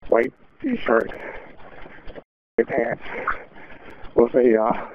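A man's voice: mid-range voice, sing-song, very slow, slight background noise. Male police officer on tinny radio. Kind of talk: radio call-in.

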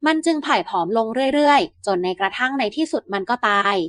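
A woman narrating Aesop-style fables for bedtime in an even, measured reading. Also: a little high, dry recording, studio quality. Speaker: storyteller.

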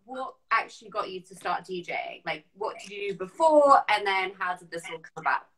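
Bright-voiced, chatty woman hosting. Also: mid-range voice, sing-song, normal speed, poor audio. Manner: effusive, chatty. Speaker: host. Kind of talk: casual chat interview. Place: living room at home, indoors. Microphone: video call device.

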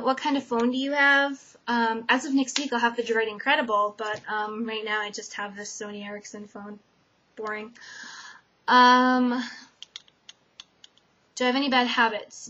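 A young woman with a fairly high voice rambles through a list of personal questions, filling gaps with "um" and letting her sentences trail off quietly. She is from Connecticut and talks casually into a webcam in a dim bedroom. The audio sounds muffled and lo-fi. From Connecticut, USA. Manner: chatty, many "um" fillers, trailing off softly at sentence ends. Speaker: vlogger. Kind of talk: casual tag-video chat.

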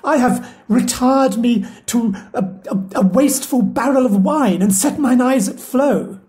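A woman playing a loyal, sorrowful household steward in a Shakespeare audio play, speaking the verse in an earnest, measured way. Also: on the high side, mellow, natural voice.

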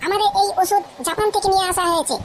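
A woman plays a mischievous village huckster in a cartoon. The voice is extremely high and quick, full of boisterous sales patter, on a noisy amateur track. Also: steady tone. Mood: hawking patter. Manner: boisterous, patter-like street hawking. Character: mischievous cheeky village sidekick hawking cheap ointment. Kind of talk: comic cartoon dialogue.

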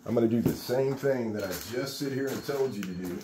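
A man's voice: medium pitch, a little fast. A middle-aged man walks through a project step by step, chatty and encouraging as he works. He is recorded on a phone in an unfinished garage with plenty of room echo and a faint hiss behind him. His voice sits in a plain middle range. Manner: chatty and encouraging, talks while working. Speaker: contractor teaching epoxy work. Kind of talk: casual how-to demonstration.

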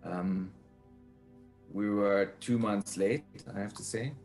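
A man presenting slides informally, speaking haltingly with frequent ums as he explains his work. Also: fairly low pitch, steady tone, darkish tone, faint music behind. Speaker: presenter, prefab builder.

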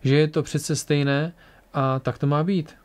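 A man's voice: on the high side, fairly even intonation, a little mellow, close to an everyday voice. Brief: man reads as a prickly young fighter woman, short tempered and blunt. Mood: indignant. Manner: curt, irritable.

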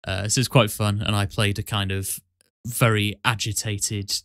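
A man hosting a casual podcast, explaining things in a halting, conversational way. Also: fairly low pitch, little pitch movement, a bit bright, no room sound, clean studio sound. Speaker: podcast host and amateur voice actor.

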